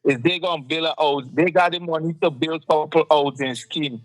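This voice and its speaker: A man's voice: fairly high pitch, fairly even intonation, normal speed, no room sound, rough recording. A man with a Guyanese accent hosting a casual political talk show, passionate and firing off rhetorical questions.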